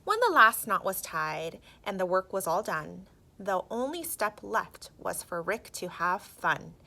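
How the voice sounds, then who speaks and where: A woman narrating a children's storybook in an expressive, theatrical and emphatic way. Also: medium pitch, measured pace, gravelly.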